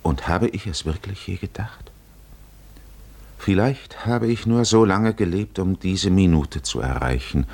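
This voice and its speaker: A man voicing the inner thoughts of a man in love, hushed, halting and pensive, in a 1950s radio drama. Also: low voice, vocal fry, exaggerated voice. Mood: quiet awe. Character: man in love, inwardly wondering, pensive and shaken.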